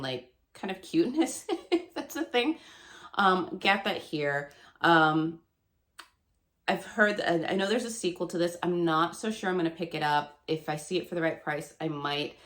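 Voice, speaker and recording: Book vlogger, woman, 30s, low voice, gravelly and nasal with a cold, chatty. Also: fairly bright tone. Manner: chatty, rambling, laughs often. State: hoarse and stuffy from a cold. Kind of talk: casual chat to camera.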